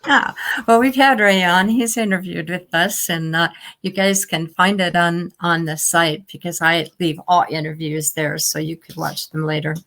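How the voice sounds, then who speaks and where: A woman's voice: lowish voice, steady tone, moderate pace, fairly bright tone. A woman hosting an interview, asking long rambling questions with restarts and laughing often. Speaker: interview host. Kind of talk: casual interview.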